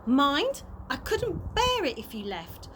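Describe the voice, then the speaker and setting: A woman's voice: sits high, wildly swooping pitch, slow, fairly bright tone, pitched above the performer's own voice, heavily acted. A woman voicing a distraught, remorseful mother who speaks emotionally and pleadingly to her child. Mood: ashamed, loving. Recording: noisy amateur home recording.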